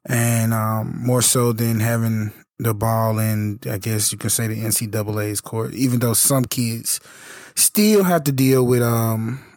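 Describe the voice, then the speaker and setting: A hoarse man hosting a casual solo podcast, talking in a halting way with many fillers and restarts. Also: medium pitch, steady tone, darkish tone, no echo, clean studio sound. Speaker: podcast host and youth basketball coach.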